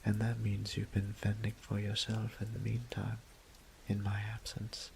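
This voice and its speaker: A man playing a gentle, apologetic old vampire priest in an intimate audio drama, speaking in formal, hesitant phrases with a breathy half-whisper. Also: lowish voice, monotone, a little slow, a little hiss or hum, rough recording. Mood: apologetic, flustered. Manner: hesitant, formal old-fashioned wording, tender.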